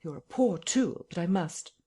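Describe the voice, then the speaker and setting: A reader voicing a stern, threatening unseen man who gives curt orders with quiet emphasis. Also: mid-range voice, expressive, moderate pace, slightly rough, pitched above the performer's own voice. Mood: menacing.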